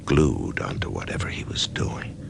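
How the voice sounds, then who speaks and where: An actor playing an author who narrates his own death in a whispery, hushed voice, stammering with wonder, in a radio drama. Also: moderate pace, background noise, natural voice. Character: dying male author, awed, curious. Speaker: narrator and lead.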